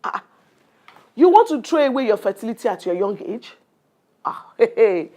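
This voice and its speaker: Bossy, patronizing Nigerian nurse. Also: female voice, a little high, very animated, normal speed, dark tone. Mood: scandalized. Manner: patronizing, talks down with exclamations and tags. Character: bossy, condescending female family planning nurse, patronizing and chatty. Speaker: nurse in an educational radio play. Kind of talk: radio drama acting.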